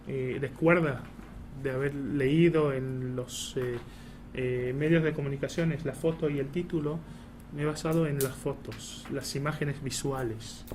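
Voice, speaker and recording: A man, a non-native Spanish speaker with an Argentine Spanish accent, gives a prepared public talk, pausing often on "eh" and restarting phrases. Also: on the high side, a little mellow. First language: Hebrew. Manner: halting, many "eh" fillers and restarts. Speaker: embassy spokesperson giving a talk. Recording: noisy room recording.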